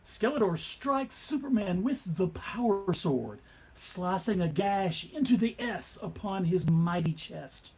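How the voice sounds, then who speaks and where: A husky-voiced man giving dramatic scripted narration at a comic book table read. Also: high-pitched, animated, takes it slowly, darkish tone.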